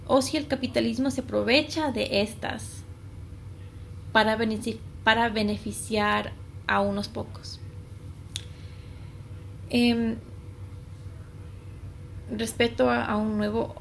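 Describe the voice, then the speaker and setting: A woman from Ecuador and the United States speaks in a fairly high voice with plenty of vocal fry, over noticeable background noise. As an interview guest she gives careful, prepared answers and sometimes trips on longer words. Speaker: guest, consultant.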